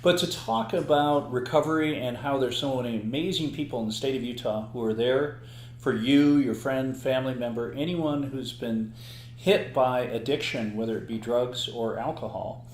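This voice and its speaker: A man's voice: mid-range voice, roomy sound. A man hosting a recovery podcast, chatting casually and playfully with a co-host and joking often. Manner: playful, quick with jokes and laughs.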